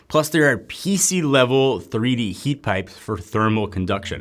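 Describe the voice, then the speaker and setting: A man's voice: medium pitch, fairly bright tone. A man hosting a tech video, giving a casual, chatty sponsored product review full of "like" fillers.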